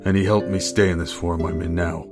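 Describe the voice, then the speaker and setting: A calm, weary male leader and shapeshifter, played by a man in an audio drama. The voice is low and deep, with loud music behind it. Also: fairly quick, natural voice. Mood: calm, restrained. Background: loud background music.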